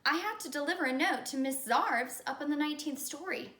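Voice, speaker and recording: A woman's voice: sits high, a little fast, a bit bright, pitched above the performer's own voice. A woman voicing a puzzled, hesitant schoolboy in a children's storybook reading. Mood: matter-of-fact. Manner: hesitant, bewildered, protesting. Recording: echoey room.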